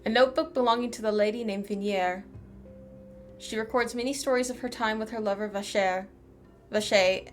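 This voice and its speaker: A woman's voice: mid-range voice, fairly even intonation, normal speed. Woman game streamer talking over music. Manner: thinks aloud in short remarks. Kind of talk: casual live playthrough commentary, reading game text aloud.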